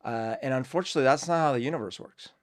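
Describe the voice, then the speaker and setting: A young man from Colombia talks through his thoughts on his own show, casual and reflective. He rambles with fillers and restarts. His voice sits at an ordinary middle pitch. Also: very fast. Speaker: host. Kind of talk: casual commentary.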